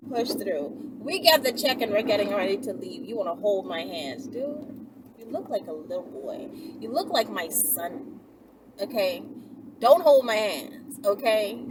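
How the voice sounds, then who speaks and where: A woman vlogging in a casual, chatty storytelling style, blunt and slangy, with animated asides. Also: a little high, noisy background. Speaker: vlogger and channel host.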